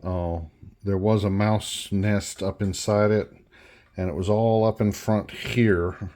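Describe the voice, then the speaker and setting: A man giving an unscripted workbench walkthrough as a hobbyist restorer, halting and thinking aloud as he goes. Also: lowish voice, steady tone, dark tone. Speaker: hobbyist restorer presenting a repair.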